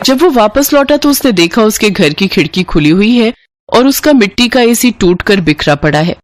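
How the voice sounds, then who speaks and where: A woman's voice: medium pitch, lively intonation, normal speed, no echo. A woman narrating a scripted children's moral story.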